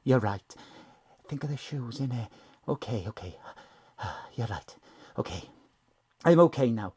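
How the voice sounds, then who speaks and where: A woman's voice: extremely low pitch, expressive, measured pace, mellow, breathy edge, full character voice. Indignant female accomplice, swooping voice. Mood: subdued. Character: indignant, snobbish, loyal female cat whisperer and accomplice. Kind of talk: audio drama acting.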